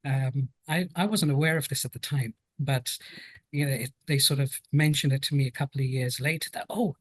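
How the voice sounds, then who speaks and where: A man's voice: mid-range voice, fairly even intonation, brisk, no room sound. British man in his fifties, dry close sound. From United Kingdom. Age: 50s. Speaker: guest, health and safety professional. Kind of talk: conversational interview storytelling.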